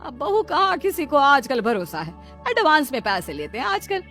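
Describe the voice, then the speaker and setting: A woman's voice: high-pitched, very animated, a bit bright, soft background music. A woman voicing a crafty older mother-in-law in a comic cartoon story, teasing and mock-innocent as she coaxes. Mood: mock-innocent, coaxing. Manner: sly, mock-innocent, drawn-out coaxing. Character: crafty, teasing older mother-in-law, self-proclaimed fox-sly schemer. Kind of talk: comic Hindi moral-story cartoon acting.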